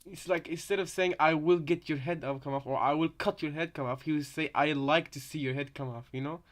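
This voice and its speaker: A man with a somewhat high voice speculates in casual commentary. He rambles, restarts and returns to the same point, checking in as he goes. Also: steady tone, a little fast, a bit bright. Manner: rambling, repeats points, often adds "you know". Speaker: reaction video co-commentator.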